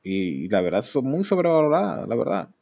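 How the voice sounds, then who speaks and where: A man's voice: big pitch swings, fairly quick, creaky, dark tone. A man in his thirties with a Mexican accent, hosting a solo podcast and speaking candidly in a rambling way. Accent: Mexican Spanish. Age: 30s. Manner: candid, rambling, mocks others in exaggerated high voices. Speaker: podcast host.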